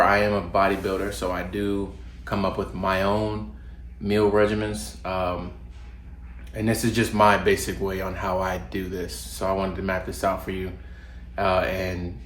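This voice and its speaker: Deep-voiced stuffy-nosed man. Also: slight background noise. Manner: relaxed, loose with fillers and restarts. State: congested from a cold. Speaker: fitness vlogger. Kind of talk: casual talking-to-camera advice. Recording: roomy home recording, faint ambience.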